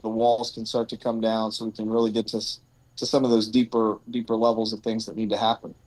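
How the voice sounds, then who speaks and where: A man's voice: on the low side, monotone, fairly quick, darkish tone, dry recording, rough recording. A man in his late thirties who runs a rescue mission, talking earnestly and thinking aloud in a casual conversation outdoors. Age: 30s. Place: outdoors on a bench.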